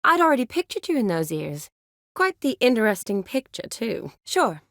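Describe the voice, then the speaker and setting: A woman's voice: a little high, big pitch swings, moderate pace, creaky, a bit bright, no echo, studio quality, full character voice. An actress voicing a spirited, teasing young woman medic in a fantasy video game, conversational and wry. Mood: playful.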